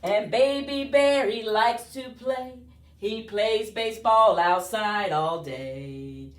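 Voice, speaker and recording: A woman reading aloud to children as a storyteller, with an expressive, singsong delivery. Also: fairly high pitch, slow pace. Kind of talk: read-aloud storytime with rhyming chant. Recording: roomy echo, distant mic, faint music.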